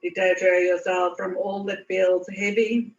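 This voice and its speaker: A woman leading a guided meditation in a soothing manner, with unhurried pauses and repeated phrases. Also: medium pitch, little pitch movement. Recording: muffled, echoey, distant from mic.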